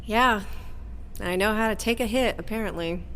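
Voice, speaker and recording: An actress playing a defiant, guarded former agent weighed down by family legacy, earnest and bitter, in an audio drama. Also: female voice, mid-range voice, moderate pace, a bit bright, slight background noise, natural voice. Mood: subdued. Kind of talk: audio drama acting with first-person narration. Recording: long hall reverb, clear background ambience.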